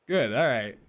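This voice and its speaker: Young male streamer, casual and easygoing, mid-pitch, faint music behind. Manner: laid-back, laughs often, swears casually. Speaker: livestream host reading chat. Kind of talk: casual live stream chat.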